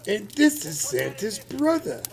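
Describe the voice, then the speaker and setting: A man playing a warm-hearted, folksy husband who acts as Santa in an amateur radio play. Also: high-pitched, very animated, a little slow, vocal fry, exaggerated voice. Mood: kindly, jolly. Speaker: actor in an amateur audio play.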